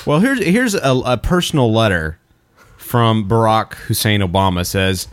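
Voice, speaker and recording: A man's voice: lowish voice, sing-song, a little slow, smooth. A man hosting a podcast in casual comedic banter, joking and turning mock-formal when reading aloud. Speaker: podcast host.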